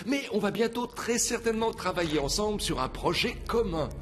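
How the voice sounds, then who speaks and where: A man's voice: high voice, lively intonation, a little fast, noisy background. A man playing a sly, falsely friendly germ villain in a French cartoon dub, with mock-sweet, gloating delivery. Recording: music under the voice.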